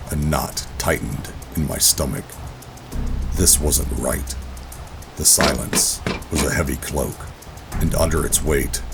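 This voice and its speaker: Covert agent, rock-bottom deep voice, brooding, deliberate, wary, audio drama narration, music underneath. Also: male voice, creaky, heavily acted. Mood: wary, uneasy. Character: hardened covert operative narrating, adult man, wary and steady. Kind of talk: first-person sci-fi horror audio drama narration. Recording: music behind the voice.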